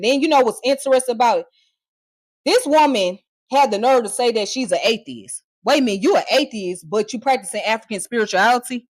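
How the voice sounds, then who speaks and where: A woman's voice: medium pitch, dry recording. A woman streamer delivering a heated, profane and mocking rant straight to her viewers, with scornful laughs. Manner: confrontational, addressing viewers directly. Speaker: online streamer. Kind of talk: ranting live commentary.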